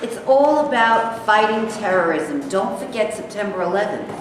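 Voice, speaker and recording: A crusty older war veteran, played by a woman in a staged reading, speaks bluntly and combatively at a middling pitch. The hall is heavily echoey, with faint noise. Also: animated, normal speed, close to an everyday voice. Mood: opinionated.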